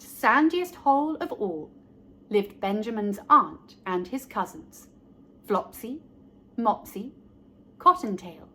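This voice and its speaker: A woman reading a children's story aloud as host, speaking directly to young listeners in a deliberate way. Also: medium pitch, fairly bright tone.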